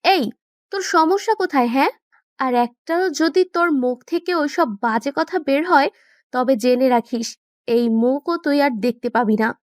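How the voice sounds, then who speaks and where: Woman acting a high-voiced, headstrong young sweetheart. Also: measured pace, no echo, studio quality. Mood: scolding. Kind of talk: audio story character acting.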